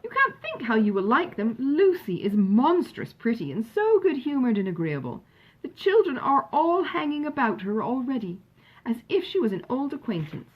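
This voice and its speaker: A woman voicing a hearty, insistent older country gentleman in a storybook, coaxing with emphatic exclamations. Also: high-pitched, big pitch swings, moderate pace, breathy edge, dull audio, pitched above the performer's own voice, heavily acted. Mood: eager, coaxing. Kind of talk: acted dialogue in audiobook narration.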